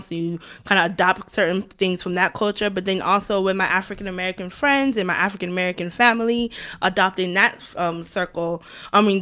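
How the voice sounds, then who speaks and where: A woman's voice: on the low side, fairly bright tone, smooth. A woman as a podcast guest, telling personal stories in an informal, conversational way. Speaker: podcast guest, fellow podcast host. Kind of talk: casual interview storytelling.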